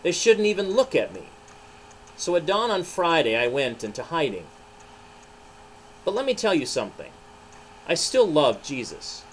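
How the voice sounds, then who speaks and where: A man's voice: fairly high pitch, slight background noise, voice pushed up, rough recording. A man reading a storybook as an anguished, ashamed male disciple, confessing emphatically. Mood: ashamed, pleading.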